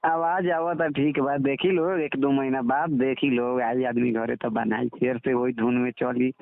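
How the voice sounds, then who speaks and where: A man's voice: mid-range voice, flat delivery, unhurried, vocal fry, no room sound. A man with a Bhojpuri accent chatting casually on a recorded phone call as a guest, agreeable and often repeating himself. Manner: eager, agreeable, repeats short affirmations. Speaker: comedian guest.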